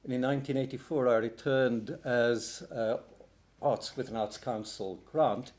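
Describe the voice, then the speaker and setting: A man's voice: mid-range voice, measured pace, darkish tone. Hesitant older man telling his story. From Hungary. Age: 60s. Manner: hesitant, many ums and restarts. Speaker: poet and translator on a panel. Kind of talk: panel talk, personal account.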